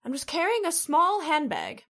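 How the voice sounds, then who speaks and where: A woman's voice: extremely high pitch, big pitch swings, normal speed, pushed and bright, exaggerated voice. A woman playing an earnest, fearful young female figure in an old-time radio horror drama. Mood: earnest testimony.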